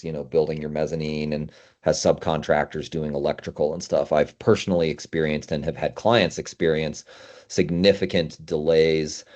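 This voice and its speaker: Low-pitched man hosting, slightly muffled audio. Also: a little mellow. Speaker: podcast host. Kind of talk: casual conversational discussion. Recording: dull, lo-fi audio.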